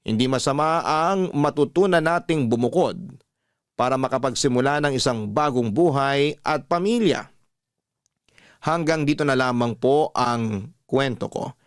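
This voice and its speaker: A man hosting a radio love-story program, reading a listener's letter aloud in a slow, measured, heartfelt way. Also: medium pitch, clear, resonant tone, no room sound, studio quality. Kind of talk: emotional radio storytelling narration.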